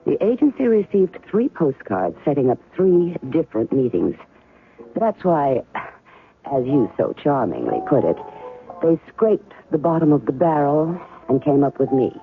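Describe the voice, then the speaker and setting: A tinny 1970s radio drama recording carries a guarded, coolly skeptical ex-wife. Her delivery is measured and wry, with expressive swings in pitch and small hesitations. Faint music sits behind her. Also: full character voice. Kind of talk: 1970s radio drama acting with first-person narration.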